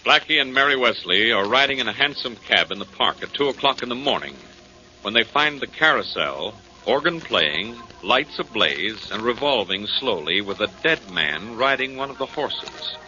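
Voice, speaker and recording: Muffled 1940s radio announcer over organ music. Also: male voice, medium pitch, lively intonation, moderate pace, bright, forceful tone. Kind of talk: 1940s radio drama scripted narration.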